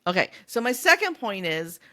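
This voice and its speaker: A woman co-hosting a podcast, chatting casually and in an animated way about a book. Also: mid-range voice, moderate pace. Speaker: podcast co-host.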